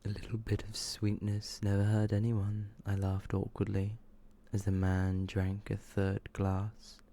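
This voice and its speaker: Hushed, very monotone man doing ASMR. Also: on the low side. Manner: hushed, slow, drawn-out delivery. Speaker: ASMR video host reading short horror stories. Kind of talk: ASMR, softly whispered and murmured reading.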